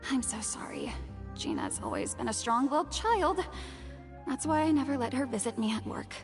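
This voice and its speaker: Modest young actress in a game, highish earnest voice, reverby, music under it. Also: female voice, expressive, moderate pace. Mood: apologetic. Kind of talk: video game voice acting. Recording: long reverb, music behind.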